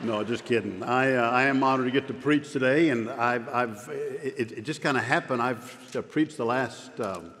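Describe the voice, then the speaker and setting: A man's voice: mid-range voice, moderate pace, hardly any pauses, a bit bright. A man in his fifties who stutters, preaching a joking, self-deprecating sermon on a church stage. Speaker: pastor. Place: large church sanctuary stage. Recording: long hall reverb, faint room noise.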